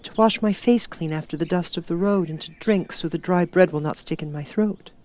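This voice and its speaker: A man voicing a young girl who is earnest and timid, speaking softly and wonderingly. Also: fairly high pitch, a little fast, no echo, voice pushed up, close to an everyday voice. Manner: soft, wondering.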